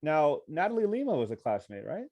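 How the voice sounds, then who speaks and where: A man's voice: fairly high pitch, big pitch swings, a little fast, muffled recording, crowd noise. A man with an American accent hosting a podcast, asking questions in an informal, encouraging, conversational way. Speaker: podcast host. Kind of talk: casual interview.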